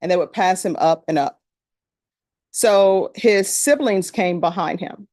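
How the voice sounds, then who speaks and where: A woman's voice: fairly low pitch, measured pace, a little mellow, no room sound. A middle-aged woman from Louisiana with a Louisiana accent, a judge speaking to a jury panel in a courtroom in a plain, conversational storytelling manner.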